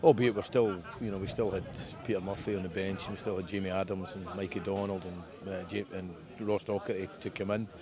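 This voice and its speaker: A man's voice: deep voice, fairly even intonation, a little fast, few pauses, a crowd in the background. A man in his fifties, a football manager giving a frank post-match interview outdoors at a windy ground. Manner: frank, conversational, plain-spoken. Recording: outdoor recording with wind noise.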